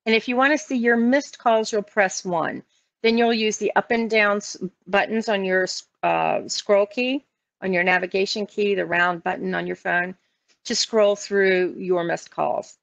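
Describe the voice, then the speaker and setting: A woman's voice: mid-range voice, fairly even intonation, a little slow, gravelly, dry recording. An American woman working as a trainer, explaining things step by step in an instructional walkthrough. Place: online meeting screen-share, voice only. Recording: dull lo-fi online meeting audio.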